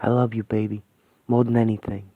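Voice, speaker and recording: A man doing a gruff streetwise ghost dad. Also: on the low side, level tone, a little fast, dry recording, pitched below the performer's own voice. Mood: tender. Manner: gruff street talk, soft tender delivery. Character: rough streetwise ghost father, tender and gruff. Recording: dry tinny phone-like sound.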